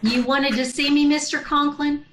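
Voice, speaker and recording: A woman's voice: sits high, fairly even intonation, moderate pace, over loud music, lightly acted. A woman playing a wry, wisecracking schoolteacher in an old-time radio sitcom, with a dry, sardonic delivery. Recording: roomy, echoey video-call recording.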